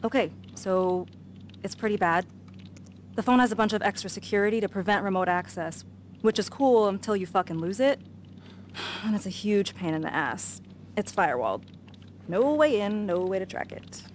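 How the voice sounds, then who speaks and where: A woman's voice: mid-range voice, animated, normal speed, a little hiss or hum, close to an everyday voice. An actress playing a young female hacker in a video game, slangy, sardonic and matter-of-fact. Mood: frustrated. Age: young adult. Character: dry, casual young female tech hacker. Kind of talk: video game cutscene acting.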